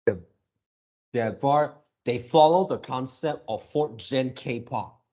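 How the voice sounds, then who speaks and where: A man's voice: mid-range voice, slow, warm tone, dull audio. A man giving casual reaction commentary as a video creator, rambling like an enthusiastic fan.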